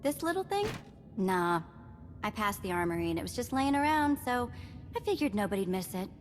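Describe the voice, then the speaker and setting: A woman's voice: mid-range voice, very animated, brisk, big-room sound, a little hiss or hum, natural voice. A woman playing a feisty, flippant young female outlaw in a video game, sarcastic and brash. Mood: playful. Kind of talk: video game cutscene acting.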